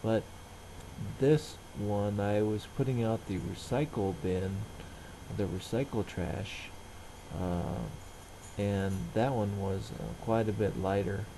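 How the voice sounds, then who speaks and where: A middle-aged man casually narrating everyday tasks in a halting, rambling, plain-spoken way. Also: lowish voice, noisy background. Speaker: vlogger.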